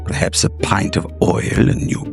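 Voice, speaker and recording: A man voicing a proud, fervent middle-aged inventor professor with halting, deliberate phrasing in a gothic audio drama. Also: music in the background, natural voice. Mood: sly, relishing.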